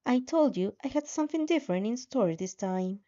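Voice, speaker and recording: Lady doing a smug uncaring system entity from an audio story, talks down to you, mellow tone. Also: fairly high pitch, animated, fairly quick, lo-fi sound, pitched above the performer's own voice, lightly acted. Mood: condescending scolding. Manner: patronizing, coolly coaxing. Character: remorseless game-like system, condescending taskmaster.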